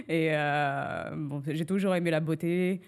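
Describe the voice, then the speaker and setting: Soft-spoken, reserved French woman in her thirties. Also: sits low, takes it slowly, smooth. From France. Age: 30s. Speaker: guest, hairstylist. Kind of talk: casual interview.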